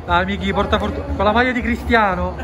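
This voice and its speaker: A high-voiced man talks like an excited football fan filming a vlog. He is exuberant and slangy, often doubling phrases for emphasis. Music and crowd noise sit behind his voice. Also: fast. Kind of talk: excited vlog chat and live reaction. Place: sponsor segment in a room at home, then a busy football stadium.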